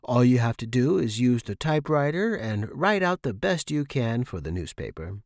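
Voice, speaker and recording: Man voicing a stern woman with lively, swooping pitch. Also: moderate pace, no room sound, clean studio sound. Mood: reassuring. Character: stern, bored female housemate laying down house rules.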